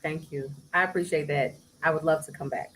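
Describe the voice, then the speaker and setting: A woman who sings, talking casually as an interview guest, soft-spoken with bursts of laughter. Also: steady tone, brisk, a little hiss or hum. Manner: soft-spoken, breaks into laughter and high mimicry. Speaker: singer guest.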